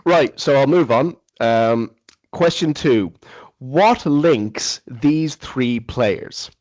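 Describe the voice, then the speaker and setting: A man hosting a casual football quiz on a podcast. He reads the questions slowly and fills pauses with ums and uhs. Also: mid-range voice, darkish tone, no room sound. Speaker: quiz host.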